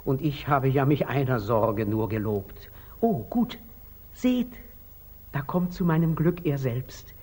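Meek, husky-voiced lay brother. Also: male voice, mid-range voice, animated, slow pace, mellow. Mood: evasive. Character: humble, artful, meek lay brother, wary of meddling. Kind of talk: 1940s German radio drama acting. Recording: old muffled 1940s radio recording.

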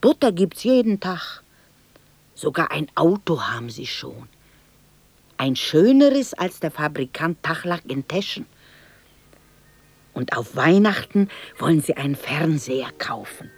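An actress playing an aging, homesick widowed mother from Bohemia in a 1960s German radio drama monologue, reminiscing wistfully and anxiously in hushed, halting fragments. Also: sits low, sing-song, no echo, full character voice. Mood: wistful, plain. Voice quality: husky, breathy, creaky.

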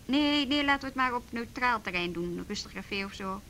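A busy, wry female youth officer in a radio drama. Her voice is fairly high and very animated, with a forward, bright edge and chatty, natural delivery. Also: a little fast, a little hiss or hum. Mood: polite, businesslike. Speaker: actor in a radio play.

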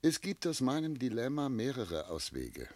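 Tormented elderly gentleman, dry close sound. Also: medium pitch, wildly swooping pitch, moderate pace, dry recording. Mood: grave, pleading. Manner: solemn, literary, declamatory phrasing. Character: tormented, self-doubting seventy-year-old gentleman in love. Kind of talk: German classic radio play acting.

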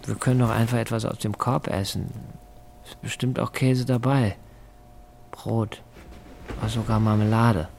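A man's voice: medium pitch, fairly even intonation, normal speed, creaky, pauses often, darkish tone, slight background noise, close to an everyday voice. A man acting in a radio drama as a withdrawn, brooding hospital patient in his early forties, speaking with reserve. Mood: reserved, polite. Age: 40s.

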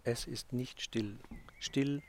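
A man improvising spoken word in short, deliberate, repeated statements. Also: medium pitch, fairly even intonation, slight background noise.

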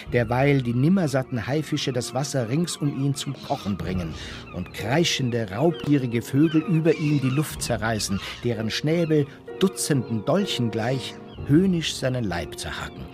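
An actor playing a solemn, grandiose narrator of a whaling tale in a radio drama. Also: male voice, mid-range voice, expressive, normal speed, music in the background, close to an everyday voice. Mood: grim, lamenting.

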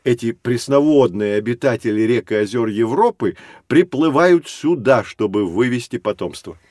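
An actor playing a friendly professor in an educational audio drama, explaining things expressively to children. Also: male voice, mid-range voice, expressive, normal speed, darkish tone, no echo, clean studio sound, natural voice. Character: friendly male professor guiding children through geography.

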